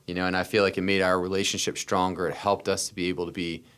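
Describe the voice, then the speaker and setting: A man's voice: low-pitched, little pitch movement, quick pace, fairly bright tone, smooth. An American man telling personal stories as a podcast interview guest, earnest and repeating phrases for emphasis. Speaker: interview guest, startup founder.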